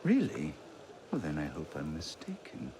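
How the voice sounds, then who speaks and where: A man's voice: a bass voice, wildly swooping pitch, normal speed, noisy background, exaggerated voice. A man playing a sly libertine aristocrat in a game cutscene, florid, urbane and sardonic. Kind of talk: video game cutscene acting.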